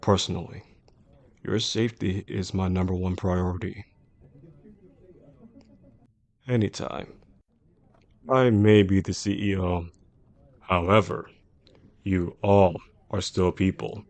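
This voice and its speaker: Casting: male actor as a composed male chief executive for intimate roleplay audio, low-pitched, measured and formal, protective with a possessive undercurrent. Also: fairly even intonation, darkish tone. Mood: firm, protective. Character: composed, caring male CEO boss, secretly obsessive. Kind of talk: intimate scripted roleplay audio addressed to the listener.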